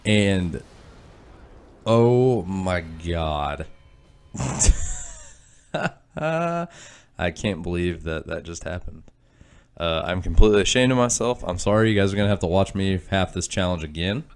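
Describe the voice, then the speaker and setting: A young man with a stuffy, nasal voice, rambling loosely through let's-play commentary with lots of fillers and self-deprecating asides. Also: low voice. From Kansas. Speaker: gaming channel host.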